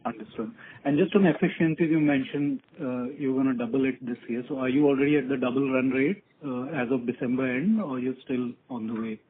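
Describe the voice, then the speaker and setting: A man's voice: mid-range voice, brisk. A man calling in as an analyst to ask questions on an earnings call. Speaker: analyst caller. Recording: muffled narrow phone line.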